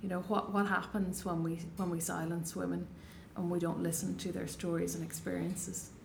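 Woman in her thirties, Donegal Irish accent, fairly low voice, hesitant and reflective, faint noise behind. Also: level tone, normal speed, vocal fry, darkish tone. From Ireland, Donegal Gaeltacht. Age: 30s. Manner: thoughtful, hesitant, many restarts and fillers. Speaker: poet, interview guest. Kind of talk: reflective interview answers.

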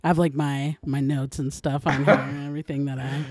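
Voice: medium pitch, level tone, fairly quick, dry recording. A podcast co-host with a Southern US accent chats casually. The sound is close and dry. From North Texas, United States. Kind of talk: casual chat.

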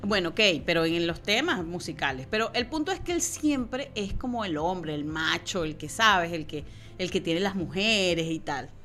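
Lively Venezuelan woman co-host. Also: lowish voice, moderate pace. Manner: animated, laughing storyteller. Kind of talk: casual chat.

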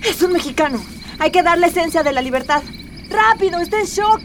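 A woman's voice: very high voice, animated, a little fast, background noise. An actress playing a defiant female rebel agent in a radio drama, insistent and urgent. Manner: insistent, pleading.

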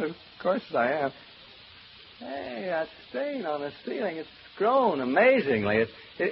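An actor playing a nervous, rambling radio broadcaster alone in a haunted house, stammering through asides to listeners in an old radio horror play. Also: male voice, sits high, full character voice. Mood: melancholy, uneasy. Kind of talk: old-time radio horror drama acting. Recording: muffled old radio recording, background hiss.

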